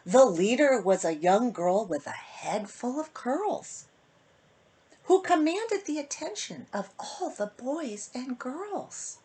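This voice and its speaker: A woman children's author with a slightly husky voice, reading a storybook aloud to young listeners and chatting to them in an animated way. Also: fairly high pitch, slow pace. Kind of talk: casual intro and expressive storybook reading to children.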